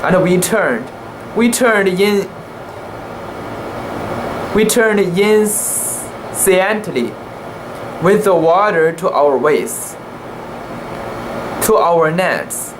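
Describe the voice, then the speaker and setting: An amateur narrator with a mid-pitched voice reads a literary sea story as a dramatic piece. The pace is slow and careful, with halting stumbles and imperfect pronunciation. A faint steady hum of room ambience sits behind the voice.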